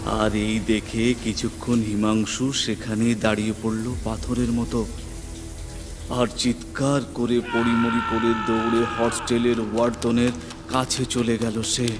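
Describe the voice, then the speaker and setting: A man narrates scripted horror fiction. His voice sits at a medium pitch and his delivery is dramatic and suspenseful. Music plays clearly underneath the narration. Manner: suspenseful storytelling.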